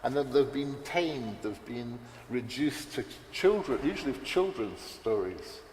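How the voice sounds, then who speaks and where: A man's voice: mid-range voice, lively intonation, a little slow, darkish tone. A man on a conference panel, talking in a rambling, unscripted way with many restarts and fillers. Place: large echoey hall. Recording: roomy hall reverb, faint background noise.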